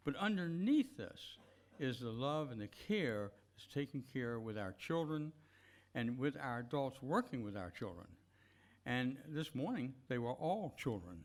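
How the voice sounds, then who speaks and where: Man leading an informal church offering talk. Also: medium pitch. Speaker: church service leader. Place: church service before a congregation.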